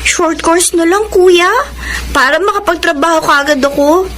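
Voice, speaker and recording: A woman's voice: very high voice, normal speed, fairly bright tone, slight background noise. A woman playing a cheerful, considerate young student and devoted little sister in a radio drama, sweet and girlish. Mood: earnest, tender. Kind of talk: Filipino radio drama acting.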